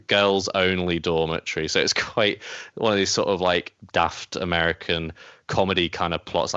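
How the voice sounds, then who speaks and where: A man co-hosting a casual gaming podcast, smooth and clear, chatting hesitantly as he searches for words. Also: low voice, fairly bright tone, dry recording. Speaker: podcast co-host. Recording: slightly dull, dry podcast audio.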